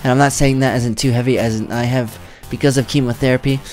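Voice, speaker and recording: A man's voice: medium pitch, steady tone, fast, darkish tone, faint music behind. Young man talking loosely, voice worn. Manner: loose, rambling, swears freely. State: voice weakened from treatment. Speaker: streamer. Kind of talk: casual vlog talk to camera. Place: home streaming room, indoors.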